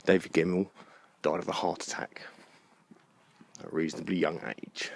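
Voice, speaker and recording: A man thinking aloud in a halting, musing way, rambling like a vlogger on a walk. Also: sits low, level tone. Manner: musing, halting, pausing between phrases. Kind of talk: rambling spoken-thought walking vlog about books. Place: outdoors, walking in a park. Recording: outdoor walking recording with clear background noise.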